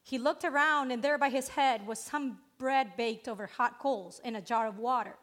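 A woman's voice: on the high side, moderate pace, fairly bright tone. A woman in her thirties with a Spanish accent, preaching a sermon earnestly and emphatically.

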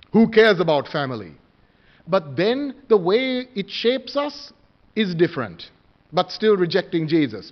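A man's voice: high-pitched, dull audio. A man from Sri Lanka preaching a sermon, earnest and questioning, often restarting his phrases.

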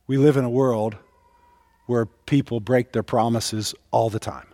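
A man in his fifties preaching a sermon, leaning on pauses and repetition for emphasis. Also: medium pitch, steady tone, mellow, dry recording, in a large hall. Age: 50s. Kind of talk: sermon to a congregation.

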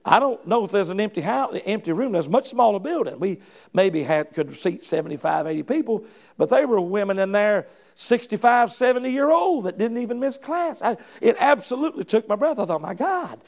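A middle-aged man preaching with fervent, folksy conviction. His voice sits high for a man and swings widely in pitch, with a gravelly edge. He restarts phrases often and keeps calling out to the congregation. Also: expressive, brisk, a little mellow. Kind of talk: sermon.

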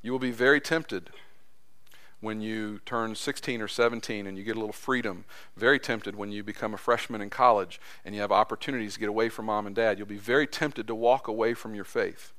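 A man preaching a sermon in a church, impassioned, his voice climbing high as he acts out quotes, then dropping low and soft. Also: brisk. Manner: impassioned, voice rising high when acting out quotes. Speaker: pastor.

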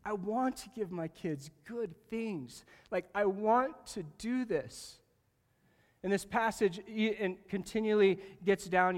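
A man preaching a sermon to his congregation, animated and jokey, swinging from loud bursts to quiet asides and talking straight to the room. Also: high-pitched. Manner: jokey, talks directly to the congregation. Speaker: pastor. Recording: long reverb of a large hall.

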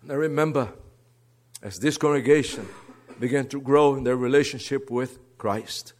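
A man from Brazil with a Brazilian Portuguese accent, a guest preacher and seminary teacher giving an earnest sermon that moves between soft asides and emphatic rises. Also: medium pitch, moderate pace.

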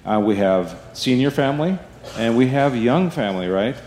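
A man's voice: mid-range voice, animated, normal speed, darkish tone, a little hiss or hum. Chatty man announcing in an echoey church. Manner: chatty, keeps asking "right?". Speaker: church staff giving announcements. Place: church sanctuary. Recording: echoey hall, faint ambience.

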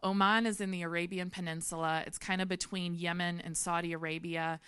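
A woman's voice: fairly low pitch, little pitch movement, a little fast, fairly bright tone, smooth, no echo, clean studio sound. A woman, sharing her missionary testimony with a congregation, earnest and laughing often. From Memphis, Tennessee. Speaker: missionary speaker.

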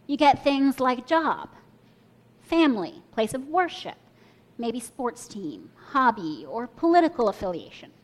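A woman's voice: fairly high pitch, very animated, a little slow. A young woman preaching a sermon, telling a personal story and inviting listeners to imagine along with her. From Kenya and the US.

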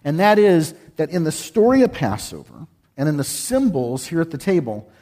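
A man's voice: a little high, sing-song, moderate pace, mellow. A man from Texas, a guest speaker giving a folksy, joking sermon in a church. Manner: folksy, joking, sings with congregation. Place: church sanctuary.

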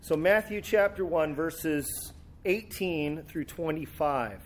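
A man preaching a sermon and reading scripture, talking easily with some fillers, a little hoarse from a cold. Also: on the high side, slow pace, slight background noise. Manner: relaxed, conversational, with fillers and restarts. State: sore throat and a cold. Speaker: pastor. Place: church sanctuary.

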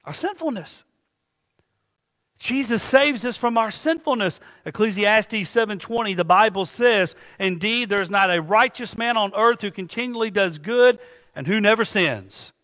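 High-voiced preacher in an echoing church. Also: male voice, lively intonation, normal speed, fairly bright tone. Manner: call-and-response preaching, asks the congregation to finish lines. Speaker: pastor. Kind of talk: sermon. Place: church sanctuary.

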